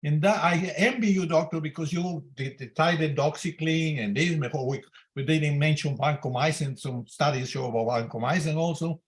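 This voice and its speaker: An older man around eighty with a slightly high voice speaks in non-native English with loose grammar. He comments as a senior surgeon in an informal clinical discussion. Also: moderate pace. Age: 70s to 80. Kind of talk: informal medical conference discussion.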